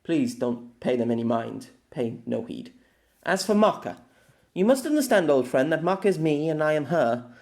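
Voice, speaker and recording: A man's voice: on the high side, sing-song, vocal fry, a little mellow. A man voicing a weary, lonely, long-lived empress in an audiobook, reading her farewell letter in a formal, wistful manner. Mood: apologetic, flustered. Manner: formal, wistful, measured with dramatic pauses. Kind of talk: scripted audiobook narration of a letter.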